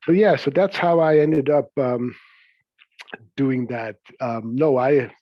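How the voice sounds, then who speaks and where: A middle-aged man from Switzerland, a coach and podcast guest, talking in a relaxed, chatty way with easy laughs. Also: medium pitch, slow pace, no room sound, highs cut off. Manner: chatty, laughs easily, many "you know"s.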